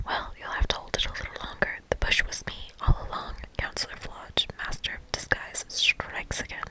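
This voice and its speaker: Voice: normal speed, close to an everyday voice. Reader playing a boastful master-of-disguise camp counselor for a game, earnest and pompous, fully whispered. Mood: proud.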